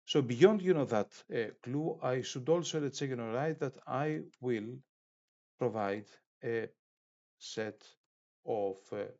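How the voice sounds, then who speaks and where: A middle-aged man giving an instructional demonstration as an online course lecturer, speaking in a halting, deliberate way with frequent hesitations. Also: medium pitch, mellow. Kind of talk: instructional screen demonstration, unscripted. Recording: dull, muffled lo-fi sound.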